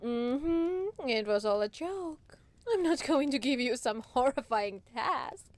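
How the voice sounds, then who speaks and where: A woman's voice: high-pitched, animated, slow, a little mellow, no room sound. A woman playing a proud, teasing demon lord in a roleplay ASMR monologue, casually regal and playfully menacing. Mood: gleeful, teasing.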